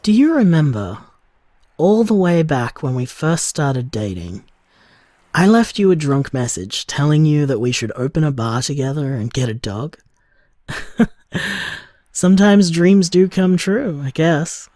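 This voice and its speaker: An actor playing a tender, contented bar owner in love, speaking reflectively in an audio drama voicemail. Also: male voice, a little high, big pitch swings, a little slow, dry recording. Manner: soft-hearted, reflective, conversational.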